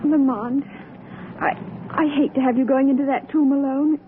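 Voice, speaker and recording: A devoted, uneasy lady, played by a woman in a classic radio mystery style. Her high voice is fretful and questioning. The sound is narrow and tinny, with light noise. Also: fairly even intonation, normal speed, close to an everyday voice. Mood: anxious. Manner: worried, questioning. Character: anxious, devoted young female companion of the sleuth. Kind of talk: 1940s radio drama acting. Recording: thin, phone-like old radio recording.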